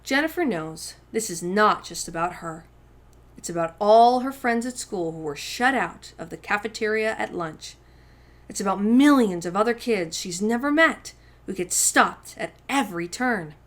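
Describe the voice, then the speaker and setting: A woman hosting a story time, reading a picture book aloud to young children with deliberate, emphatic phrasing. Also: medium pitch, expressive. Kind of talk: expressive children's read-aloud narration.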